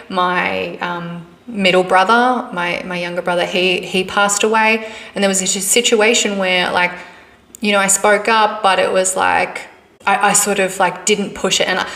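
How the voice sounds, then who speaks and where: Low-voiced woman telling a reflective story. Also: a bit bright, roomy sound. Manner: halting, reflective, many restarts and fillers. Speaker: podcast host. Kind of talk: personal storytelling monologue.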